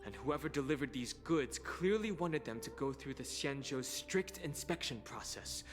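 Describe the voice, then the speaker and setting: A man acting as a serious game investigator, sober and deliberate. His mid-pitched voice sounds analytical over faint music. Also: expressive, lightly acted. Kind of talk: dramatic game dialogue.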